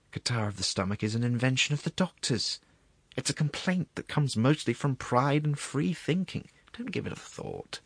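A man's voice: mid-range voice, no echo, voice pushed up. A man voicing a wheedling, gluttonous court secretary in a storybook reading, savouring his words in a confiding, coaxing way.

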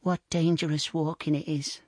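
A man's voice: on the high side, flat delivery, normal speed, no echo, clean studio sound, voice pushed up, natural voice. A man voicing a tiny, plucky, cheeky thumb-sized boy in a storybook tale, bold and impish. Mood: chirpy, plaintive.

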